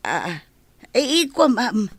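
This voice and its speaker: Slow, hesitant housemaid. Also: female voice, a little high, very animated, slightly rough, dry recording, exaggerated voice. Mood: nervous, evasive. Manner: hesitant, deferential, stammering. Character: timid, evasive housemaid answering her employers.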